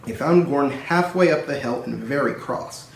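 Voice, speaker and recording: A man's voice: medium pitch, moderate pace, vocal fry, a little hiss or hum. A man narrating a children's story as a storybook reader. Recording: roomy echo, distant microphone.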